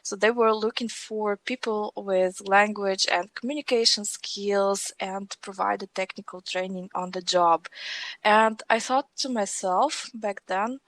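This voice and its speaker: A woman's voice: medium pitch, steady tone, a little slow, a bit bright, dry recording, low-quality recording. A woman in her thirties with a Russian accent, talking conversationally as an interview guest. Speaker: podcast guest, technical trainer.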